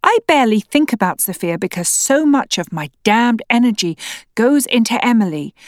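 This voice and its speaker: A woman voicing a worn-out, angry father and husband in a family argument, shouting and pleading. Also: fairly high pitch, very animated, measured pace, dry recording, studio quality. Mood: furious. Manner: raised, pleading, exasperated. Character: angry exhausted middle-aged stepfather and husband.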